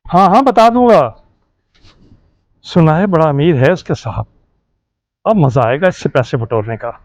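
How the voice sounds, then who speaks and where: A man acting as a sly, glib con man who flatters his victim in an amateur moral skit. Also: fairly high pitch, muffled recording, close to an everyday voice. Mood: false sympathy, then gleeful scheming. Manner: glib, deferential to his victim, self-congratulating.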